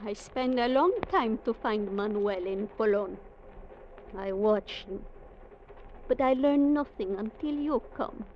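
A woman's voice: a little high, slow. An actress playing a proud, armed young Latin American woman with a Spanish accent, defiant and commanding, in a 1940s radio drama. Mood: determined, threatening. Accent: Spanish-accented English, Latin American. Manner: defiant, commanding, clipped speech. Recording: muffled, noisy vintage radio recording.

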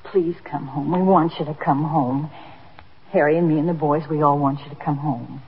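Through a dull, hissy old radio recording comes a worried middle-aged housewife. She speaks earnestly and hesitantly at a medium pitch, remorseful and pleading, in the manner of 1950s radio drama. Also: fairly even intonation, moderate pace. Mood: tender, pleading.

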